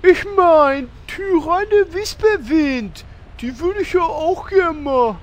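A performer playing a dim-witted, eager young male adventurer who talks slowly and dopily and sometimes shouts, in a comedy audio drama. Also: extremely high pitch, animated, heavily acted. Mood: dopey. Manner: slow, dopey, shouting at times.

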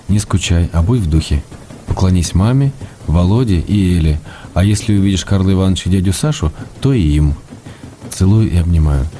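An actor playing an affectionate, playful middle-aged husband, a writer reading his letters in an intimate, measured way for a radio drama. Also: low-pitched, a little hiss or hum, lightly acted. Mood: cheerful, caring. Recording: loud music behind the voice.

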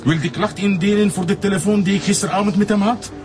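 A man's voice: sits high, fairly even intonation, quick pace, music in the background, close to an everyday voice. A man acting an indignant, defensive middle-aged father under police questioning in a naturalistic radio drama. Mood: defensive, irritated.

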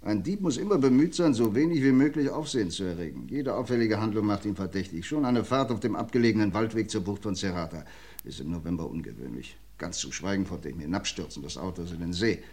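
A man playing a shrewd, dryly ironic police commissioner in an old radio crime drama, talking in a measured, lecturing way. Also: on the low side, lively intonation, darkish tone, breathy edge. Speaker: police inspector.

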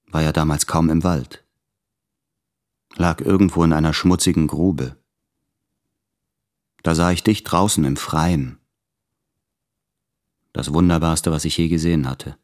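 An actor playing a tormented, tender male letter writer in a literary radio play, anxious and introspective, speaking in measured phrases with deliberate pauses. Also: rumbling low, darkish tone.

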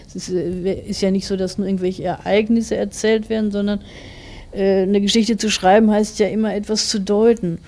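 A woman's voice: mid-range voice, little pitch movement, moderate pace, a little mellow. A woman of forty, an author answering interview questions in a reflective, careful, explanatory way.

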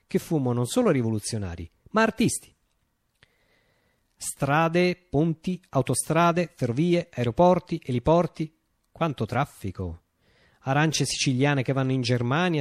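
A man's voice: medium pitch, no room sound, studio quality, lightly acted. Man acting a declamatory, visionary revolutionary leader, swooping intonation. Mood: solemn, visionary, then ironic, proclaiming. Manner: declamatory, with deliberate dramatic pauses. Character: visionary utopian revolutionary leader, poetic and grandiose. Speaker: actor performing a radio monologue. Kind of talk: theatrical radio drama monologue.

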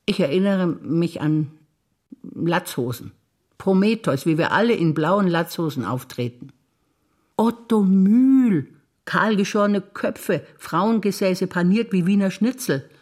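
A woman's voice: lowish voice, lively intonation, a little mellow. Older German woman recalling memories on stage. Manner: measured, reflective recollection. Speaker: stage actor. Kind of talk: theatre monologue performance.

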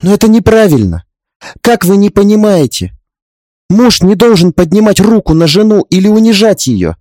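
A man's voice: mid-range voice, expressive, moderate pace, darkish tone, no room sound, clean studio sound, close to an everyday voice. A man voicing a young wife in an audiobook drama, indignant and stubborn, pleading in an urgent, emphatic way. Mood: earnest, indignant.

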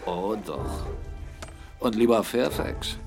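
A man playing a brisk, inquisitive captain in a German radio drama, blunt and insistent as he points things out. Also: lowish voice, expressive, gravelly, music in the background, full character voice. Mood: grim, insistent.

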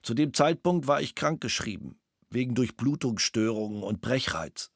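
A man reading out a witness statement in a measured, matter-of-fact way. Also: medium pitch, animated, dry recording. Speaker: reader of a statement. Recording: dry studio recording.

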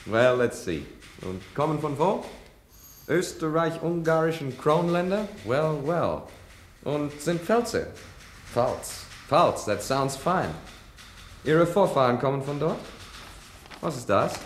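Radio play: amused American bureaucrat, American accent, halting and animated, roomy sound with noise behind. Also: male voice, a little high. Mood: amused, curious. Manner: halting, muttering, mixing in English phrases. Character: jovial American occupation official issuing permits. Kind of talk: radio drama acting.

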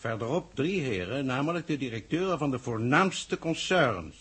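Een acteur die in een hoorspel uit de jaren zestig een onverstoorbare, ontwijkende regeringsleider speelt, formeel, afgemeten en droog ironisch. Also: male voice, medium pitch, animated, fairly bright tone, husky. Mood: suave, ironic. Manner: formal, measured, dryly ironic, condescending. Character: unruffled, evasive, dryly ironic male head of government. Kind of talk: 1960s Dutch radio drama acting.

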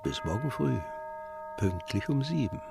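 A man's voice: medium pitch, sing-song, a little slow, vocal fry, over loud music. An actor in a German radio play playing a melancholy man, wistful and theatrical. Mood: wistful farewell. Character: wistful man tenderly bidding his home farewell.

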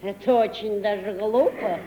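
A woman's voice: medium pitch, normal speed, muffled recording, a little hiss or hum, natural voice. A woman playing a coy, easily offended household servant with affected manners in an old Russian radio comedy. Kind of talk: 1950s Russian stage-comedy radio acting. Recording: echoey old radio recording, background commotion.

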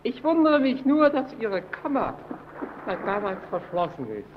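Sneaky needling lighthouse keeper, high swoopy voice, old noisy radio drama sound. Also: male voice, very animated, a little slow, muffled recording. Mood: evasive, insinuating. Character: needling, evasive lighthouse keeper, resentful of his companion. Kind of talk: old German radio play acting.

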